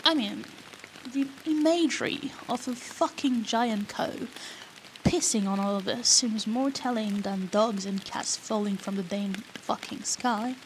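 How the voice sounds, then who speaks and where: A woman's voice: mid-range voice, measured pace, natural voice, low-quality recording. A woman playing a bratty, foul-mouthed female rogue in a fantasy audio roleplay, teasing the listener with cheeky, sarcastic banter. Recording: rain noise behind the voice.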